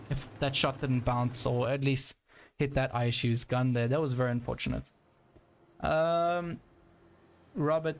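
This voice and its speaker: This is a young man with a South African accent, his voice sitting slightly high. He chats loosely with his viewers while playing, thinking out loud and restarting his sentences. A faint background noise hums under the recording. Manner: casual, thinks aloud, many ums and restarts. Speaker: streamer. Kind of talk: live gameplay commentary, chatting with viewers.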